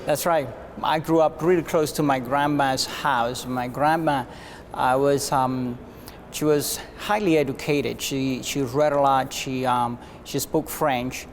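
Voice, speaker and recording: A man from Cuba, a physician guest, answering interview questions in an earnest, measured way with frequent restarts. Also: mid-range voice, steady tone, a little hiss or hum.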